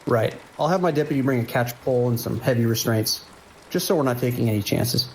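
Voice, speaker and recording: A man playing a dry, weary deputy at a dispatch desk in an audio drama, steady and laconic. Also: mid-range voice, fairly quick, slight background noise, close to an everyday voice, poor audio. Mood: businesslike. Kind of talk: audio drama phone dialogue.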